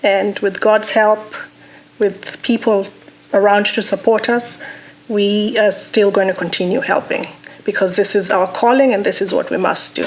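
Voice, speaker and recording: A woman's voice: mid-range voice, steady tone, a little slow, poor audio. Kenyan woman, executive director, mid-range voice, fluent and earnest. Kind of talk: personal account, interview-style.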